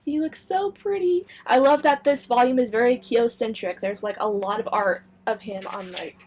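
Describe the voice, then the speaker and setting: A woman's voice: a little high, normal speed, a little mellow, husky. A woman in her twenties chatting casually and enthusiastically to viewers like a gushing fan sharing news. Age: 20s. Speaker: anime and book video creator.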